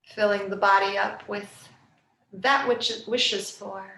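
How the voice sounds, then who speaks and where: A woman yoga nidra teacher leading a guided relaxation meditation in a soft, soothing, unhurried way with long pauses. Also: medium pitch, fairly even intonation, creaky. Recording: roomy, echoing sound.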